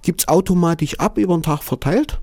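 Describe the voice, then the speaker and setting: A German man talks as an interview guest, his mellow voice sitting a little high for a man. He stumbles and repeats words, tacking on "ne" as he goes. The sound is dry and close. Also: no room sound. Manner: halting, repeats words, frequent "ne" tags. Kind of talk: conversational interview. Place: living in Japan.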